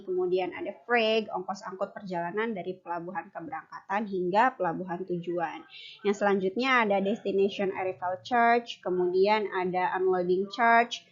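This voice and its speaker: A young adult woman giving an explanatory class presentation as a university student. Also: mid-range voice. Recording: dull lo-fi recording.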